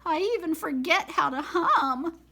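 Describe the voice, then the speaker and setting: A woman's voice: very high voice, animated, a little slow, creaky, sharp tone, voice pushed up, full character voice. A woman voicing a shy, worried young male cat in a storybook read-aloud, speaking timidly and hesitantly.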